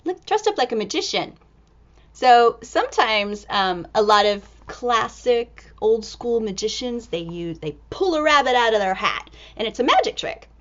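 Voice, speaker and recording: Animated, high-pitched woman reading to young children. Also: muffled recording. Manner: expressive, exclaiming, drawn-out words with hushed asides. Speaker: storyteller and teacher reading a picture book aloud.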